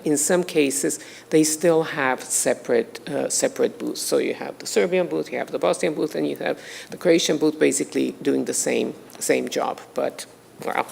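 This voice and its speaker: Low-voiced woman lecturing in a hall. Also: creaky, a little hiss or hum. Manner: measured, many hesitations and restarts. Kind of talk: formal lecture. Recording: long hall reverb.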